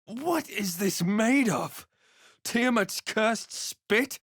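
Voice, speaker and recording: A man playing a wary, quietly wry fantasy adventurer in a video game, speaking in a measured, deliberate, dramatic way. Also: high voice, animated, dry recording, studio quality, exaggerated voice. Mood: alarmed. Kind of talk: video game voice lines, dramatic narration and muttered asides.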